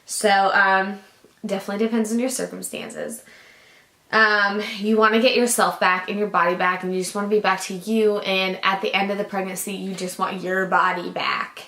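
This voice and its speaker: A young woman vlogging casually to camera, rambling with lots of fillers, her voice raspy and groggy from a lingering cough. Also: medium pitch, little pitch movement, moderate pace, bright, forceful tone. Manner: chatty, rambling, lots of likes and ums. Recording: echoey room.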